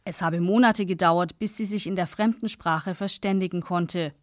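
A woman narrates a radio feature from a script in a measured, even manner. Her voice sits on the low side, and the recording is dry. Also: dry recording. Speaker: radio reporter.

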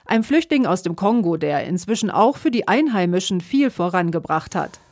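A woman reporter reading scripted documentary narration in a measured, even way. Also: fairly low pitch, no echo.

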